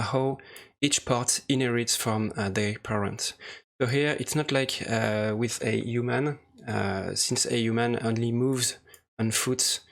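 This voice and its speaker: A man presenting an unscripted software tutorial, speaking hesitantly in short, halting phrases. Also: medium pitch, gravelly. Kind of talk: unscripted screen-recorded tutorial walkthrough. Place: voice-over for a screen recording.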